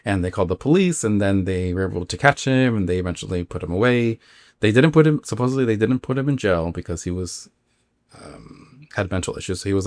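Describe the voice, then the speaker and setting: A man who hosts a podcast, telling a story casually in an informal, halting way full of filler words. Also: on the low side, dry recording. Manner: informal, halting, filler-heavy with acted-out quotes. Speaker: podcast host.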